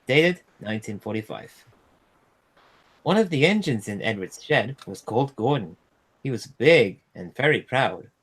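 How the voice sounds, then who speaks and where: A man reading a children's storybook aloud as a storyteller, in an animated style. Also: medium pitch, unhurried, slightly rough. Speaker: storyteller, streamer.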